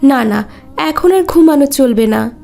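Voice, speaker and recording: Woman acting high-voiced, devout young cartoon wife. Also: lively intonation, unhurried, vocal fry, exaggerated voice. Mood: caring, insistent. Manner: gentle, polite, coaxing. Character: devout, caring young wife, gently insistent. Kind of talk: cartoon dialogue.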